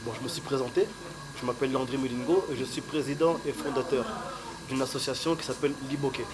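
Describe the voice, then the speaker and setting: A Congolese man answering interview questions in a polite, measured way with fillers, recorded in an outdoor restaurant. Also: medium pitch, a crowd in the background, rough recording. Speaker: guest, association founder. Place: outdoor straw-hut restaurant.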